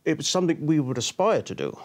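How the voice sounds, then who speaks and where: A man's voice: a little high, sing-song, quick pace. Blunt middle-aged man. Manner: firm, blunt, short answers. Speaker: interviewee, former mayoral candidate. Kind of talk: casual interview.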